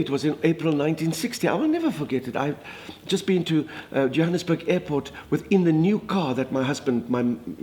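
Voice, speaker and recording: A man's voice: a little high, darkish tone, slight background noise, close to an everyday voice. A man doing a gushing older Afrikaans lady. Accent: Afrikaans South African. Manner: gushing, dramatic, exclamatory. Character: chatty older Afrikaner woman, minister's wife, theatrical. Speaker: comedic monologist. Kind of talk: comic storytelling monologue.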